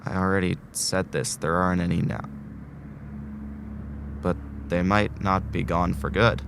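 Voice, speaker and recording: A man plays an anguished, principled hero of an audio drama. His voice sits low, weary and earnest, heavy with emotion. Some noise runs behind the recording. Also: flat delivery, unhurried, gravelly. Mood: grim resolve. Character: anguished, principled man trapped in time loop.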